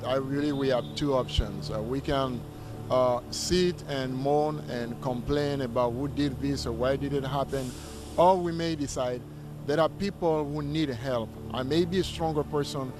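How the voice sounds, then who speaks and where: A man's voice: medium pitch, moderate pace, noisy background. A man speaking earnestly and hesitantly in an interview. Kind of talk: recorded interview.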